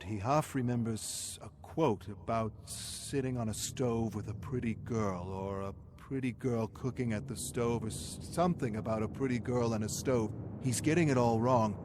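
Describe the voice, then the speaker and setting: Male narrator, mid-pitched, for scripted dramatic fiction. Intense, suspenseful read over light background noise. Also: moderate pace.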